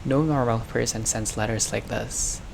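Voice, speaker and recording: Male gamer, creaky voice, narrates a visual novel and comments casually; audible noise on the home recording. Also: mid-range voice, fairly even intonation, moderate pace. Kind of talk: game playthrough, reading narration aloud with casual reactions.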